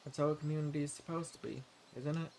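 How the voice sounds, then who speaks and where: A man's voice: fairly high pitch, fairly even intonation, fast, a little hiss or hum. Man acting a polite young-hearted forager adventurer, birdsong behind. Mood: curious, polite. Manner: polite, earnest, gently hesitant. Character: friendly male adventurer and keen forager, polite, eager. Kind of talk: fantasy roleplay audio drama, addressed to the listener. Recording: clear outdoor nature ambience with birdsong. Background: forest ambience, birds.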